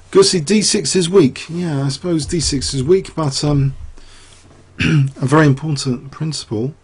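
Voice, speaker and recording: Gravelly, husky man analyzing chess. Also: mid-range voice, a little mellow. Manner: rambling, thinking aloud with many fillers and rhetorical questions. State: losing his voice. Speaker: chess commentator.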